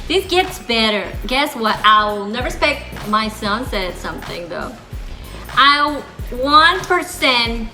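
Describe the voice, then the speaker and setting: A woman from Colombia with a Colombian accent and Spanish as her first language, chatting casually and excitably to viewers as a vlog host. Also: on the high side, rough recording.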